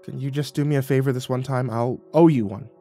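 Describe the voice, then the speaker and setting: A man playing an awkward, frightened young man in an audiobook, stammering and croaky as he pleads and haggles. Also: mid-range voice, steady tone, brisk, mellow, soft background music, lightly acted. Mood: exasperated pleading. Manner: stammering, croaky, whiny desperation. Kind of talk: audiobook character voice.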